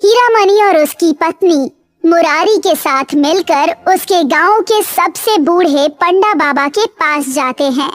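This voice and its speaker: A woman reads a children's moral tale as narrator. Her voice is extremely high, almost childlike, slowly paced and recorded very dry. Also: expressive, no room sound. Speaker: story narrator. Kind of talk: scripted children's story narration.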